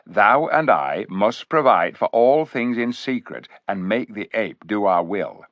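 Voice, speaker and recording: A man's voice: on the low side, animated, a little slow, no room sound. A man voicing a cold, scheming army captain who speaks in a lofty, condescending way. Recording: dull, dry recording.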